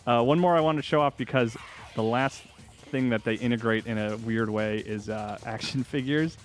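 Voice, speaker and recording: A man's voice: mid-range voice, moderate pace, faint music behind. A man hosting a game show segment, chatting casually and enthusiastically as he explains gameplay. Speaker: video game journalist, host. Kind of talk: casual chat, commentary over gameplay.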